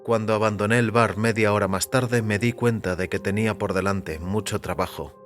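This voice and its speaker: A man narrating a scripted first-person story in a measured, literary way. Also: on the low side, steady tone, soft background music.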